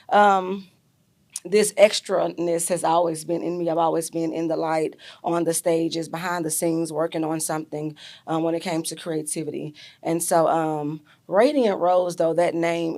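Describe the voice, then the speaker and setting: A young adult woman guest speaks in a low, raspy, gravelly voice. She tells her story in an animated, casual way, filling pauses with "um" and "like" as she talks to an interviewer. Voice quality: raspy, deep voice. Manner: animated storyteller, many fillers. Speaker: podcast guest, artist and educator.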